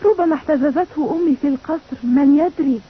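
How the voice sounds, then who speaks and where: An actress playing a gentle, principled young princess in a cartoon dub, earnest and sweet. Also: female voice, high-pitched, fast talker, natural voice. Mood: subdued. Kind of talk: dubbed cartoon acting. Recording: tinny old cartoon dub, faint noise.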